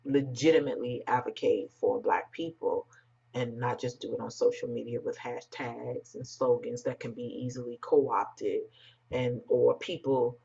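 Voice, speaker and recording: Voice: mid-range voice, fairly even intonation, unhurried, vocal fry, mellow, slightly rough. An American commentator with an androgynous voice, talking bluntly and emphatically in informal, unscripted remarks to online viewers. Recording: dull, muffled lo-fi sound.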